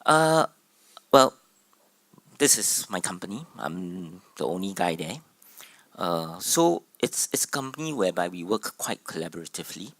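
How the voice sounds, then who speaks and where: A man's voice: low voice, sing-song, moderate pace, a bit bright, dry recording. A man with a Singaporean accent, Cantonese his first language, giving a hesitant conference panel talk as a theatre artistic director. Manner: hesitant, with many fillers. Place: conference room.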